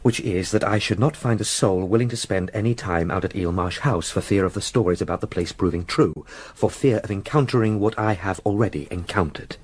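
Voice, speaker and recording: An actor playing a determined, polite young English solicitor in a period radio drama, speaking in formal, precise phrases. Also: male voice, deep voice, a little fast, few pauses, breathy edge. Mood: firm, insistent.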